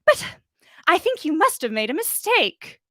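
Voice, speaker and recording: A woman acts out a haughty, prim older witch in a read-aloud story. Her pitch sits high and swoops with indignation. She is sickly sweet and scolding, enunciating each word with condescension. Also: very animated, unhurried, sharp tone, no echo, studio quality, heavily acted. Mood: affronted.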